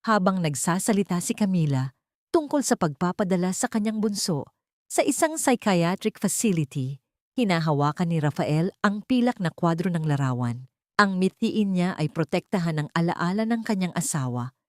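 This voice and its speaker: A woman's voice: lowish voice, animated, normal speed, no room sound, studio quality. A woman reading a dramatic story as a scripted audiobook narrator.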